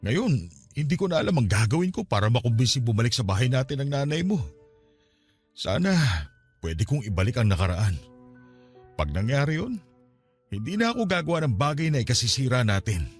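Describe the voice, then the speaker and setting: Emotional older father, widely swinging pitch. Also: very animated, a little fast, long pauses, husky, faint music behind. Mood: remorseful pleading. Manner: pleading, emotional. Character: remorseful older Filipino father, a drinker pleading with his child. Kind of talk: radio drama acting.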